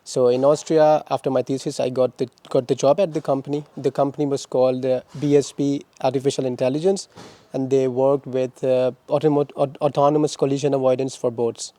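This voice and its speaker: A young man from Pakistan answering interview questions about his studies, speaking in a halting way with many restarts and repeated words. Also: medium pitch, flat delivery, mellow, dry recording. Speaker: interviewee, former master's student.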